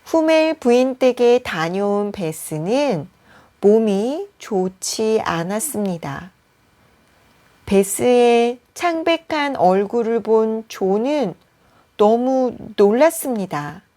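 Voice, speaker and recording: A woman reading a bedtime story for language learners as a teacher, with careful phrasing and frequent pauses. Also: on the high side, expressive, warm tone.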